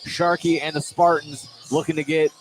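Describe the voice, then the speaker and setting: A man doing live play-by-play commentary of a high school basketball game from inside a gym. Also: little pitch movement, normal speed, background noise. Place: indoor gymnasium commentary position.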